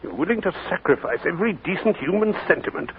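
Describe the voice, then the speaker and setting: An actor playing a frank old friend urging forgiveness, forthright and reproachful, in 1940s radio acting on an old broadcast recording. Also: male voice, high voice, sing-song, quick pace. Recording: old AM broadcast, narrow and hissy.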